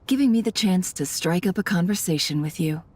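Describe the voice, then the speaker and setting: An actress playing a shrewd, guarded intelligence broker in a video game, cool and knowing in manner. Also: female voice, fairly low pitch, fairly quick, darkish tone, slightly rough. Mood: cool, probing.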